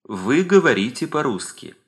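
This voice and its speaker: Bright-voiced man reading drills. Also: mid-range voice, very animated. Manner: slow, deliberate, clearly articulated. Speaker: course speaker. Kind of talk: clear language-drill reading.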